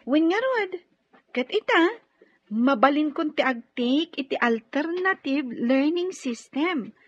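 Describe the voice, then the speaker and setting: A woman's voice: sits high, animated, takes it slowly, dry recording, muffled recording. A woman playing a sweet, eager young daughter in Ilocano radio drama, with an Ilocano accent.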